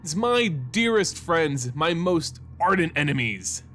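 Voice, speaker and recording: A man's voice: a little high, very animated, slow, sharp tone, a little hiss or hum, full character voice. A man voicing a gleeful, grandiose vampire bride who relishes bloodshed, theatrical and grandly mocking, in a fantasy story reading.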